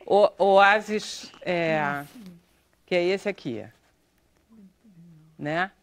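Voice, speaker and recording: A woman's voice: on the low side, extremely slow. A woman with a Brazilian accent hosting a TV chat show, informal and enthusiastic as she prompts her guests. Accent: Brazilian Portuguese. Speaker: talk show host. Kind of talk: casual interview chat. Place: TV talk show studio.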